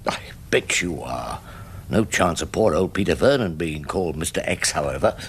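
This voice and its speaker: An actor playing a wry, shrewd older English defence barrister, husky and dry, narrating his case in a radio drama. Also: low voice, animated, slight background noise. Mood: sardonic. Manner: dry, sardonic, measured.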